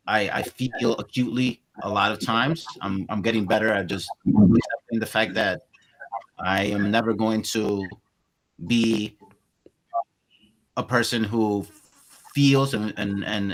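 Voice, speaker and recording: Brief: podcast guest, man in his forties, Colombian-born, Spanish-inflected accent, low-ish, forceful voice, reflective and searching for words. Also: rough recording. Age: 40s. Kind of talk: casual long-form conversation.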